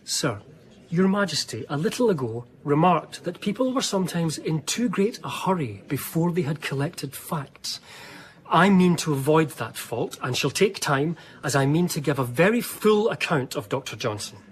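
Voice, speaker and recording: An actor playing an eager, reverent young gentleman diarist in a radio drama, speaking formally and effusively. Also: a little high, animated, moderate pace, natural voice. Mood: deferential. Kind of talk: radio drama dialogue and diary narration.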